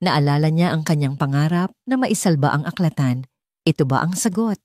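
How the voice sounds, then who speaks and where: A Filipino woman narrates a romance audiobook in a low-pitched voice. Her tone is soft-edged and mellow, reading from a script as a storyteller would. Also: dry recording, studio quality.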